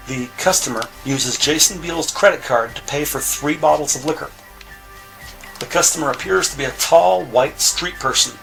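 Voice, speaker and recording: Guy narrating an audio drama, measured storytelling, voice a bit high, music clearly behind him. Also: fairly bright tone, low-quality recording.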